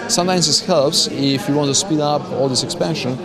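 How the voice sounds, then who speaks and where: A man with a Slovenian accent answering interview questions as a company founder, halting as he searches for words. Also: fairly high pitch. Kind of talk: interview answers at a business conference. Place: crowded conference venue. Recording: busy event with loud crowd chatter. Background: loud crowd chatter.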